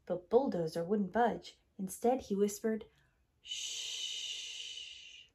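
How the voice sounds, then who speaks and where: A woman's voice: medium pitch, animated, measured pace, darkish tone. A middle-aged woman, a teacher, reading a children's picture book expressively indoors. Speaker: teacher, storyteller. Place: small room at home, indoors.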